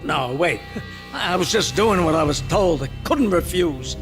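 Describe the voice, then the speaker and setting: An actor playing a cowardly, cornered mob boss in a game, whining and pleading. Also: male voice, fairly high pitch, expressive, measured pace, music in the background. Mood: panicked pleading.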